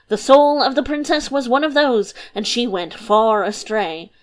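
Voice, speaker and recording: A woman voicing a quick, flighty male philosopher who lectures emphatically. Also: a little high, expressive. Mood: lecturing.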